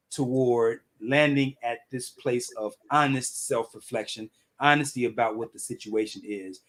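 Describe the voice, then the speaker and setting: A man co-hosting a relationship talk show, a coach who debates his co-host and reads out viewer comments in an animated way. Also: mid-range voice.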